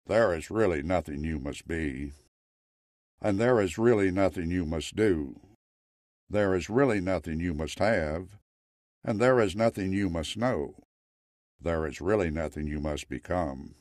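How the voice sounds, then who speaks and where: A man voicing a weary, wise Zen temple master in a story, matter-of-fact and deliberate. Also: low-pitched, lively intonation, a little mellow, dry recording, studio quality. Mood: calm, wise. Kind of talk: storytelling character quote.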